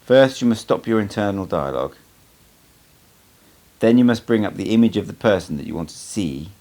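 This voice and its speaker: A man's voice: lowish voice, animated, moderate pace, warm tone. A man voicing a cryptic sorcerer teacher in an audiobook, speaking in a dry, instructive manner.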